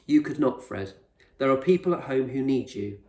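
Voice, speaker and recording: A man voicing an eccentric, proud male explorer in a storybook, speaking with measured authority and reassurance. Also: mid-range voice, expressive, darkish tone, voice pushed up, close to an everyday voice. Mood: firm, earnest. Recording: roomy echo, distant microphone.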